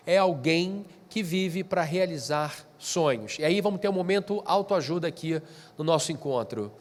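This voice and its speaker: Male lecturer, stuffy and nasal from allergies, higher-pitched than most men, chatty delivery with restarts, long hall reverb. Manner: conversational, with frequent restarts and asides. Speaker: speaker at a pastors' training session. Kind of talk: spoken lecture to a live group.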